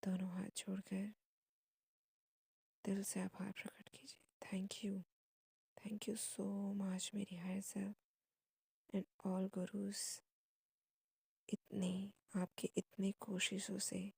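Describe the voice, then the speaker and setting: An Indian woman leads a guided meditation in a soft, husky, breathy voice. Her tone is mellow and hushed, recorded close and dry. She places short phrases between long pauses. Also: mid-range voice, dry recording.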